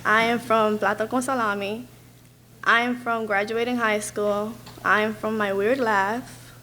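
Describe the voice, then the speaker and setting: A young woman from Brooklyn recites her poem line by line. Her voice is bright and fairly high, with very even pitch. She is a student performer. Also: measured pace, slight background noise. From Brooklyn, New York. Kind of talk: reciting a personal spoken word poem.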